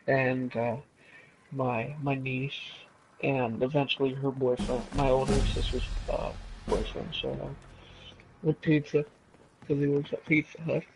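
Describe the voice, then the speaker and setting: A young man around twenty talks casually as he plays a game, wandering off track and swearing freely. His pitch sits in the middle for a man, and there is clear noise behind him. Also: little pitch movement, a little slow. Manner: sweary, digressive. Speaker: gaming streamer. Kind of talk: casual rambling storytelling while gaming.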